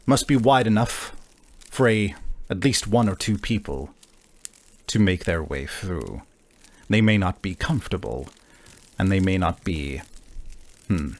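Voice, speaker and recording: A man acts out a formal tabletop game envoy with a low voice. The delivery is very unhurried and halting, each phrase carefully measured and grave. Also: exaggerated voice.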